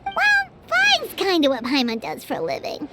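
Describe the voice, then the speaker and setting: A woman voicing a tiny, excitable, food-loving fairy girl in a video game, exclaiming and talking about herself in the third person. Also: sits high, moderate pace, loud music behind the voice, full character voice. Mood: eager, tempted. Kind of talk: video game character dialogue.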